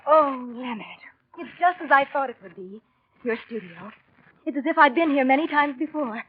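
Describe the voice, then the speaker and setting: High-voiced, seductive society lady. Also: animated, moderate pace. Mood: yearning. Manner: coaxing, intimate, dramatic. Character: seductive, insistent society woman pursuing a married painter. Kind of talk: 1940s radio acting. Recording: dull, noisy 1940s radio recording.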